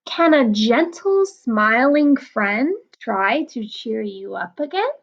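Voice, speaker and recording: Slow, gentle woman reading to kids. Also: fairly high pitch, lively intonation, gravelly, lo-fi sound. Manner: slow, gentle, explaining to children. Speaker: children's book reader. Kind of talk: picture book read-aloud.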